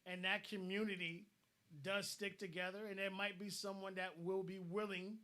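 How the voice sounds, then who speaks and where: A man's voice: high voice, little pitch movement, normal speed, bright, forceful tone. A man co-hosting a casual online discussion, giving blunt advice and often repeating words as he starts a thought.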